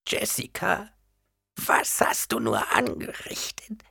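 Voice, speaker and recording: A man reading an audiobook, voicing an old, imperious priestess in a hoarse, breathy whisper that drops low and drags out slowly. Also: huge pitch swings, a bit bright, no room sound, clean studio sound, heavily acted. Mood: shrill. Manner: pleading and hissing, drawn out slowly. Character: shrill hissing ancient woman, imperious high priestess. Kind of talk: audiobook character voice.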